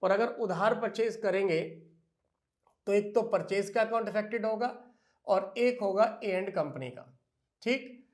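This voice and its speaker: A man teaching accounting in a classroom lecture style. He addresses the students fondly, poses questions and then answers them himself, and slows right down when he dictates entries. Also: high-pitched. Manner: slow, drawn-out dictation of entries.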